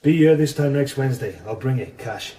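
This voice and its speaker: An actor playing a cautious, businesslike criminal financier in a radio drama, speaking in a measured, guarded, rather formal way. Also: male voice, mid-range voice, animated, dark tone, lightly acted. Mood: decisive. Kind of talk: radio crime drama acting. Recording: echoey space, distant from mic.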